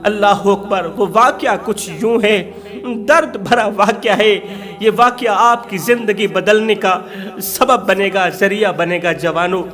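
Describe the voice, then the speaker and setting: A man's voice: high-pitched, a bit bright. A man preaching an emotional Islamic storytelling sermon, impassioned and emphatic, repeating phrases for effect.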